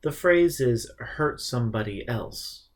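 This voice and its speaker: A man voicing a calm, patient male teacher in an audiobook, reasoning and explaining with a gentle, teasing touch. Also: mid-range voice, slow pace. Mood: instructive.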